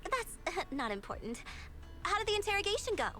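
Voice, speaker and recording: High-pitched feisty young woman. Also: sing-song, brisk, pushed and bright, faint music behind, exaggerated voice. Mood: surprised, chatty. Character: feisty, excitable, mouthy young female investigator. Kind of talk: anime-style game voice acting.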